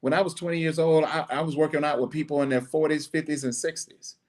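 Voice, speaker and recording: A middle-aged man hosting a podcast, giving a blunt, preachy monologue with animated asides. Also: a little high, fast. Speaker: podcast host. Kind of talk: casual monologue.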